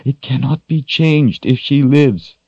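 A man's voice: fairly low pitch, slow pace, darkish tone, breathy edge, dry recording, highs cut off, pitched above the performer's own voice. A man voicing a commanding sorceress, grave and full of sorrow, in a fantasy audiobook. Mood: grave, insistent.

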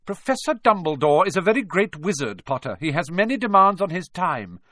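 A man's voice: sits high, very animated, fairly quick, sharp tone, no room sound, studio quality, voice pushed up, full character voice. A man voicing a stern, haughty older woman professor with a cold, curt manner in an audiobook. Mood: haughty, insistent. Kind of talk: audiobook character voice.

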